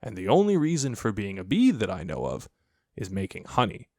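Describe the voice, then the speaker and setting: A man voicing a slow-thinking, earnest toy bear in a children's story, reasoning aloud in a ponderous, repetitive way. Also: on the low side, wildly swooping pitch, dark tone, no echo, studio quality. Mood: pondering.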